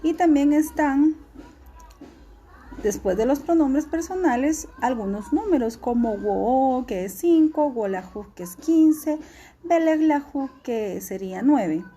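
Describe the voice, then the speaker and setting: A woman teacher, giving a recorded class lesson in a clear, instructive way and repeating key words slowly. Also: high voice, animated, mellow, slight background noise.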